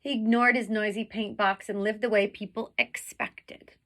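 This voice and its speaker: A woman reading a picture book aloud like a teacher, with deliberate, dramatic phrasing that leans on the vivid words. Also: medium pitch, fairly even intonation, a bit bright. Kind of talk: expressive storybook read-aloud.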